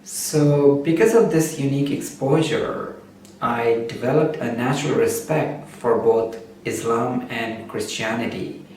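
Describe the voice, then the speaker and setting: A man whose first language is Urdu speaks at a middle pitch in a slow, measured way, often pausing to think. He is heard from a little way off the microphone, and his voice rings through a heavily echoing room with a faint hum of noise behind it. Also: darkish tone. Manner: slow, measured, with frequent "uh" pauses. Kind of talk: reflective spoken essay. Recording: very echoey room, distant mic, faint background noise.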